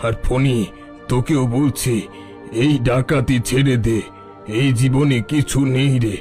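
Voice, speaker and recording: A man's voice: mid-range voice, steady tone, slow pace, a little mellow, soft background music, lightly acted. An actor playing an older, repentant bandit chief in a cartoon, speaking in a solemn, reflective, fatherly way. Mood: earnest urging. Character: dying old bandit chief, repentant and tender. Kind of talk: Bengali cartoon story dialogue.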